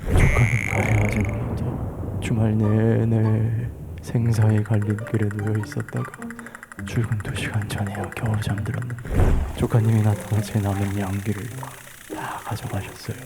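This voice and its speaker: A man's voice: on the low side, flat delivery, moderate pace, creaky. A man voicing a lovestruck, melodramatic young office worker in a webtoon audio drama. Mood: drained. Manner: goofy, melodramatic inner monologue. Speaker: voice actor. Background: background music.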